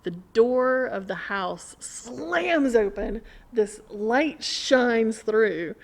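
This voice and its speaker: A middle-aged woman telling stories in casual conversation, in an animated, rambling way. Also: fairly high pitch, very slow, gravelly. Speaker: podcast guest or co-host. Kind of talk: casual chat, storytelling.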